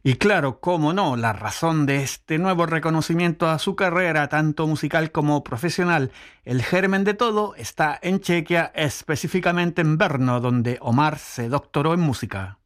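Man, radio reporter, scripted narration, fairly high voice, smooth even read. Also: normal speed.

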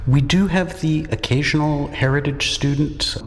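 A man's voice: fairly high pitch, fairly even intonation, moderate pace. A middle-aged man, a native English speaker and university lecturer, giving thoughtful, articulate interview answers in a reflective, lightly self-deprecating way. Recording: echoey hall with long reverb.

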